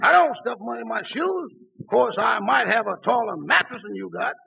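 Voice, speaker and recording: Boastful comic valet, high rasping gravel, dull vintage 1940s radio recording. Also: male voice, lively intonation, a little fast, exaggerated voice. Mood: boastful, teasing. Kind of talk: 1940s radio comedy acting. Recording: dull, lightly noisy old radio recording.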